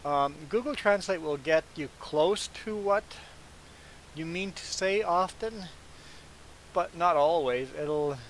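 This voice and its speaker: A man from Canada whose first language is Low German, chatting casually as he hosts a livestream of a farming game. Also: takes it slowly, rough recording. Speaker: livestream gaming host. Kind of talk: casual chat while streaming a farming game. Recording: clear background hiss.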